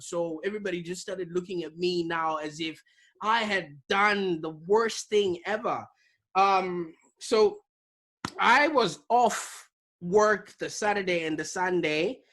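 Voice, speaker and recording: A man from Zimbabwe, an interview guest telling his story in a lively, animated way over a video call. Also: sits high, measured pace. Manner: animated, vivid storyteller with repetitions. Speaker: guest, digital marketing business owner.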